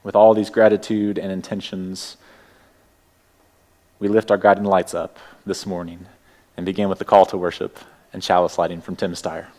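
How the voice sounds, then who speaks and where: A man with a Texas accent opens a congregation's service from the sanctuary. His voice sits a touch low, and his words come gently and unhurried as he welcomes everyone. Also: level tone. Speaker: worship leader. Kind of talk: welcoming church announcements and opening words. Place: church sanctuary.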